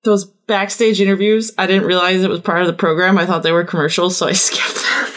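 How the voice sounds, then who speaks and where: A woman's voice: lowish voice, little pitch movement, fairly quick, a bit bright. A woman guesting on a podcast, chatting casually in a wry, self-deprecating way. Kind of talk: casual chat.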